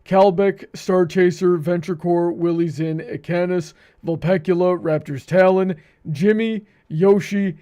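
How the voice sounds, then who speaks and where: A man's voice: high voice, steady tone, a little slow, hardly any pauses, dark tone, smooth. A man hosting a livestream in a casual, chatty style. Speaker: livestream host.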